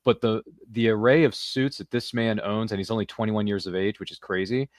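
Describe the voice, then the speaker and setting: A man's voice: on the low side, fairly even intonation, a little fast, no echo, clean studio sound. A man hosting a casual interview show, chatty and informal, often speaking directly to his listeners. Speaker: podcast host.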